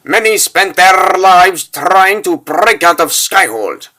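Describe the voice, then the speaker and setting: A man's voice: high voice, slow, pushed and bright, heavily acted. A man voicing a polite, long-winded scholarly schemer in a comic book dub, speaking in a formal, theatrical, carefully articulated way. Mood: assured. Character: polite, scholarly male planner of a prison break, long-winded. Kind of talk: comic book dub, dramatic character voices.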